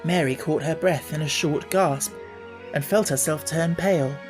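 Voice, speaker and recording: A man narrating an audiobook in a measured, expressive storytelling manner. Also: a little high, music in the background.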